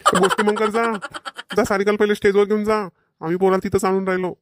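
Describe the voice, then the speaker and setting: A man acting as a plain-spoken cartoon villager with a Vidarbha Marathi accent. He talks very fast in a fairly high male voice, colloquial and folksy, recorded dry. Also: level tone, dry recording, lightly acted. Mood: bossy, hurried. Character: plain-spoken village men at a family engagement. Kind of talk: amateur cartoon dialogue acting.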